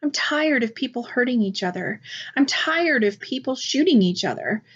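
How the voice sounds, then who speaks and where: A woman's voice: on the high side, lively intonation, moderate pace, lo-fi sound, voice pushed up. A woman voicing an earnest young boy, worried and questioning, in a picture book read aloud. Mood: weary, pleading.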